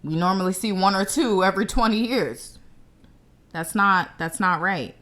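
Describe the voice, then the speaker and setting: A woman's voice: mid-range voice, normal speed, a bit bright. A young woman from the United States hosting her own show, giving blunt, confident commentary straight to camera. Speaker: show host.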